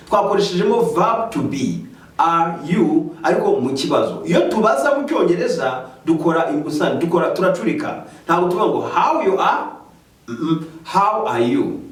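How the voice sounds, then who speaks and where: A man with a Rwandan accent teaching English in a slow, careful way, switching into Kinyarwanda to explain. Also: fairly high pitch. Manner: slow, careful explaining, lively rising questions. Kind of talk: bilingual language lesson. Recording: echoey room, mic at a distance.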